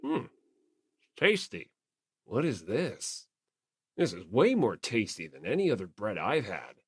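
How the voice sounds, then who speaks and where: A woman's voice: a bass voice, very animated, takes it slowly, slightly rough, no echo, clean studio sound, pitched below the performer's own voice, heavily acted. A woman voicing a blunt, manly, sincere teenage tough guy in an audiobook. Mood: impressed. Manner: blunt, emphatic. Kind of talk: audiobook character acting.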